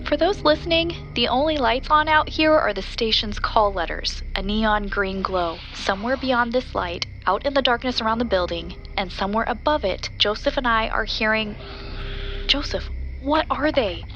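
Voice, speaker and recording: A woman's voice: a little high, animated, normal speed, fairly bright tone, lo-fi sound, faint music behind. A woman acting as an earnest, observant radio reporter who narrates events into her recorder in an audio drama. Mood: tense, hushed. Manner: descriptive, observant, speaking into a recorder.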